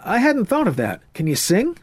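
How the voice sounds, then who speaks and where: Guy doing a cocky young jive-talking dude, kinda higher and loud. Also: wildly swooping pitch, normal speed, breathy edge, full character voice. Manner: breezy, showy, jive slang. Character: cocky young man, slangy hepcat.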